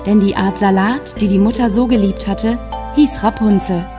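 A female storyteller narrates a children's fairy tale from a script. Her voice sits at a medium pitch, with music clearly playing behind it. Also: fairly even intonation, moderate pace.

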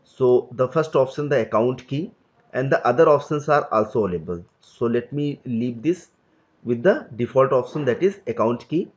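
A man talking through a screen-recorded software lesson at a middle pitch. He explains each click step by step in a loose, unpolished way. The audio is a bit dull and lo-fi. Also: normal speed. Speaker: tutorial instructor.

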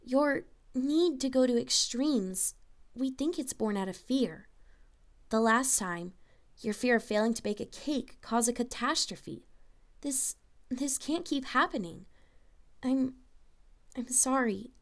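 A woman playing a gentle, regretful young female pony mentor, murmuring softly and hesitantly. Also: sits high, slow. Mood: soft, apologetic.

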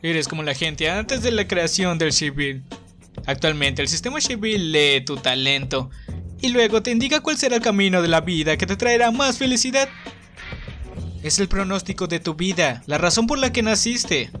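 A cheeky young enforcer with a bitter edge, acted by a man in an anime fan dub. His voice is fairly high, with a Latin American Spanish accent and casual, expressive delivery over music. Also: moderate pace. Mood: bitter, intense. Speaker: amateur fandub voice actor.

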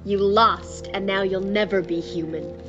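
A woman's voice: medium pitch, steady tone, unhurried, highs cut off, over loud music, natural voice. A woman playing a determined young demon slayer in a TV drama, speaking plainly and directly. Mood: earnest, determined. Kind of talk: TV drama acting.

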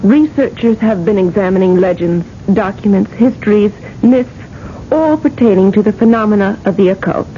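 An American actress playing an efficient, unflappable corporate secretary in a radio drama, reporting in a formal, matter-of-fact way. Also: female voice, medium pitch, normal speed, close to an everyday voice. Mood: crisp, businesslike. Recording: dull vintage radio recording, faint noise.

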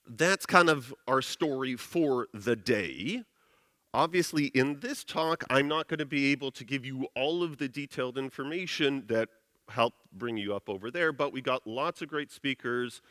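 A middle-aged man delivers a conference keynote in a relaxed, conversational way, often pausing on "uh". His voice sits at an ordinary pitch and is recorded close and dry through a headset mic. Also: no echo. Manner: casual, conversational, frequent "uh" fillers. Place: large conference stage.

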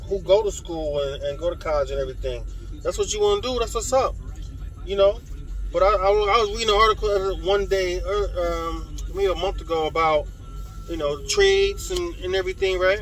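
A man's voice: high-pitched, a bit bright, rough recording. A man with a New York accent gives a casual motivational talk as a tradesman, slangy and emphatic, repeating his phrases and checking for agreement. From New York, United States. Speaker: HVAC tradesman and channel host.

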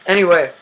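A man's voice: sits high, lo-fi sound, slight background noise. A young man hosting a game commentary, rambling and self-mocking with lots of ums, his voice dry and cracking. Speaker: gaming channel host.

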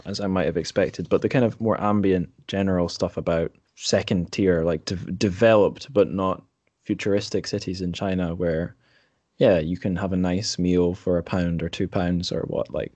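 Low-pitched man, halting delivery. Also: a little mellow, no echo. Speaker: podcast host. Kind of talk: casual book discussion.

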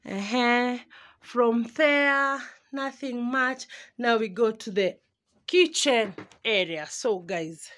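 A woman's voice: fairly high pitch, takes it slowly, a bit bright. A woman in her twenties with a Kenyan accent chatting casually and animatedly for a home vlog, mixing in Swahili and searching for words. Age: 20s. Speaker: vlogger. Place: small room at home.

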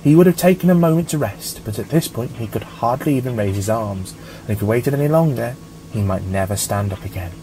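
A man reading a scripted story as an audiobook narrator, in a measured, dramatic storytelling manner. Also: mid-range voice, animated, warm tone, a little hiss or hum, rough recording.

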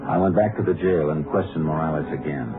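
An actor playing a calm, skeptical Texas Ranger in a 1950s radio drama, speaking in a dry, measured, deadpan way. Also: male voice, very deep voice. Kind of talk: 1950s radio drama acting, first-person narration and dialogue. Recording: muffled vintage radio recording with music.

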